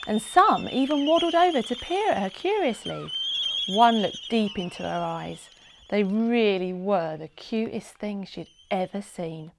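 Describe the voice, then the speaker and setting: Animated woman reading a children's story. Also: a little high. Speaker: storybook narrator. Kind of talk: expressive scripted children's story narration.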